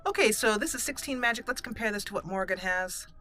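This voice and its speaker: Bright-voiced woman doing game commentary. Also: a little high, animated, fast talker, a little hiss or hum. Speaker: gaming channel host. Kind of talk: roleplay let's play commentary.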